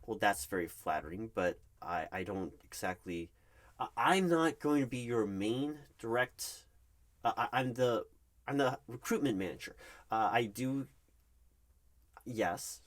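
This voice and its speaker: A man's voice: lowish voice, a little slow, close to an everyday voice. A man playing a nervous, polite recruitment manager in an ASMR interview roleplay, hesitant and stammering as he stays diplomatic. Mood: awkwardly flattered. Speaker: job interviewer. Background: computer keyboard typing.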